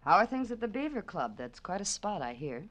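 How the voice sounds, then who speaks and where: An American woman acting a sly, sharp-tongued, flirtatious wife in a vintage radio crime drama. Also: mid-range voice, big pitch swings, brisk. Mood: coy, teasing. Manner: sardonic, teasing. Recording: hissy vintage radio recording.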